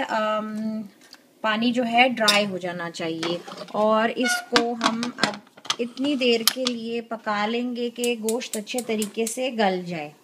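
A woman hosting a cooking show, giving unhurried step-by-step instructions in a home kitchen with frequent filler pauses. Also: on the high side, noisy background.

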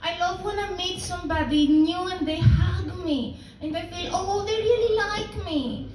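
A woman's voice: very high voice, a little slow, reverberant. A young Greek woman with a strong Greek accent doing a live stand-up set, self-mocking and laughing at her own lines. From Greece. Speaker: stand-up comedian. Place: comedy club stage with audience. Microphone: handheld stage mic. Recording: raw live room recording from far off. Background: loud audience laughter.

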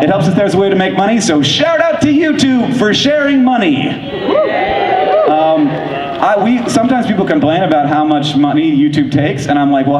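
A man giving a keynote talk on stage in a large convention hall, fast and enthusiastic with lots of "like"s, speaking into a handheld mic. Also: a little high, a little mellow, muffled recording, a little hiss or hum. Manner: fast, enthusiastic, filler-heavy with frequent likes. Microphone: handheld microphone. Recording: echoey hall from far off.

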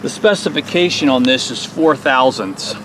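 A man's voice: mid-range voice, animated, normal speed, noisy background. A man in his forties hosting a hands-on small engine repair tutorial outdoors on a lawn, explaining each step in a plain, folksy way.

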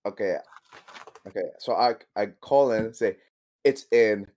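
A man's voice: fairly low pitch, measured pace, a little mellow. A man talking casually as a tabletop game player, hesitantly thinking his moves aloud.

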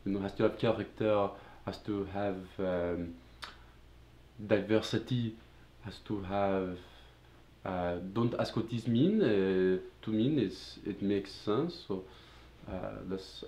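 A young man voicing a pompous Italian art lover with an Italian accent, halting over his words. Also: fairly low pitch, mellow, roomy sound, pitched below the performer's own voice. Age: 20s. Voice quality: halting, hesitant.

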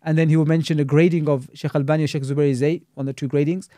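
A man's voice: on the high side, little pitch movement, quick pace, mellow, no room sound. A man, an Islamic scholar and teacher, talking critically in a casual podcast discussion, scattering Arabic religious terms through his speech.

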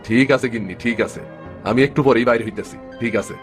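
A man's voice: medium pitch, brisk, soft background music, natural voice. A man playing a poor, good-natured village fisherman and father in a cartoon, speaking plaintively with a rural eastern Bengali accent. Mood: fond. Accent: rural eastern Bengali (Bangladeshi). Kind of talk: dramatic cartoon story dub.

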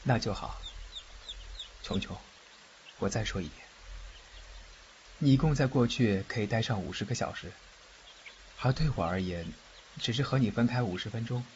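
A man acting a fugitive underworld official and doting, cocky godfather in a fan audio drama, expressive and protective. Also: lowish voice, moderate pace, pauses often, lightly acted. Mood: grave, cautioning. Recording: muffled, faint background noise, rain-like ambience.

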